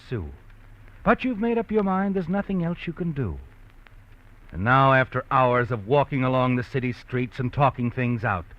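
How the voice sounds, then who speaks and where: A man's voice: medium pitch, natural voice. A man voicing an ominous knowing radio narrator. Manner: second-person, knowing, ominous. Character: mysterious male narrator addressing the hero. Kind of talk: 1950s radio drama narration. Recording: lo-fi 1950s radio broadcast.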